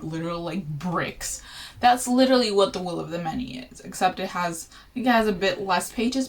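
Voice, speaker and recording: A woman's voice: medium pitch, a little hiss or hum, rough recording. A woman book vlogger chatting casually to camera at home, playful, with lots of fillers and jokey asides. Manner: chatty and playful, many "like" and "you know" fillers.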